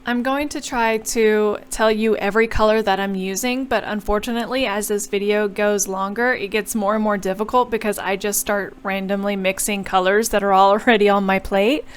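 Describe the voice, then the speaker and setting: A woman's voice: mid-range voice, fairly even intonation, normal speed, hardly any pauses, clean tone. A young woman chatting through a journal painting tutorial as a voice-over, explaining each step and apologizing now and then. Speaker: bullet journal creator.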